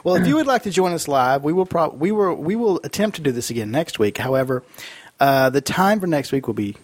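A man co-hosting a podcast in casual, easygoing and rambling chat, his voice husky from a cold. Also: a little high, lively intonation, fairly quick, no echo. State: fighting off a cold. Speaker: podcast co-host.

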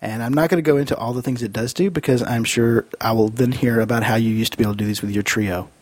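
A man co-hosting a podcast in casual, easygoing and rambling chat, his voice husky from a cold. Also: mid-range voice, fairly even intonation, quick pace, dry recording. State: fighting off a cold. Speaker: podcast co-host.

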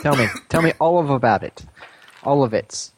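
A man's voice: mid-range voice, moderate pace, noisy background. A man co-hosting a podcast in casual chat, with a wry, playful way of talking. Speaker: podcast co-host.

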